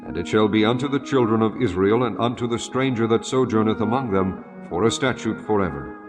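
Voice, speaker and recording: A man narrating an audio Bible in a solemn, formal, measured scripted style. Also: on the low side, music in the background.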